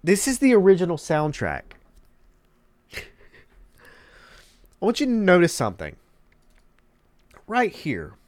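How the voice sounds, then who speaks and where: A man's voice: sits high, wildly swooping pitch, takes it slowly, pauses often, darkish tone, no echo. A man hosting a casual gaming commentary, congested and emphatic, repeating words for emphasis. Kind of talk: casual commentary reading articles.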